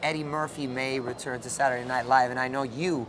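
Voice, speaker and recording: A man hosting an entertainment TV interview at an outdoor shopping center, playful and teasing in quick banter. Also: medium pitch, steady tone, a bit bright, low-quality recording. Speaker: entertainment TV host. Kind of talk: upbeat celebrity interview.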